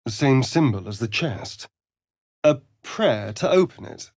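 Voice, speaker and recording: A man's voice: mid-range voice, very animated, a little slow, dry recording, studio quality. A man playing a sly, vain, sardonic vampire rogue in a fantasy video game, with an arch, theatrical drawl. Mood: wry, casual.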